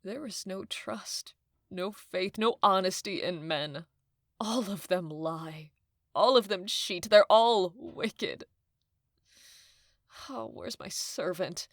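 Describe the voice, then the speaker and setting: A woman's voice: medium pitch, slow pace, no room sound, studio quality. A woman playing an overwrought older nurse in an audio drama, lamenting breathily and repeating herself. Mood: bitter. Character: wailing, overwrought older female nurse, bitter servant. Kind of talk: audio drama acting of modernized Shakespeare.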